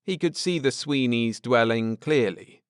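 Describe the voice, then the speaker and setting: A man narrating a scripted audiobook story for adults at bedtime, in a measured, dramatic way. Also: mid-range voice, animated, clean tone, no echo, studio quality.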